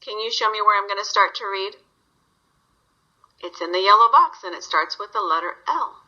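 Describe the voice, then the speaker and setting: A woman's voice: mid-range voice, normal speed, sharp tone, dull audio. A woman reading a story aloud to young children, guiding them with simple questions.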